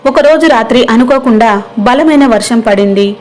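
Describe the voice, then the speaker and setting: Higher-pitched woman narrating a story. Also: moderate pace, a little mellow. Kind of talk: scripted moral story narration.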